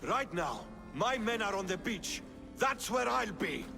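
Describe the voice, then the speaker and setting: High-pitched, hot-headed Spartan commander. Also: male voice, sing-song, unhurried, creaky, in a large hall, over music, heavily acted. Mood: angry.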